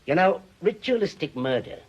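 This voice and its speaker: An actor playing a bitter, obsessive middle-aged retired policeman in a radio drama, forceful and sardonic. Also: high-pitched, very animated, moderate pace, breathy edge, lo-fi sound, exaggerated voice. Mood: grim, insistent.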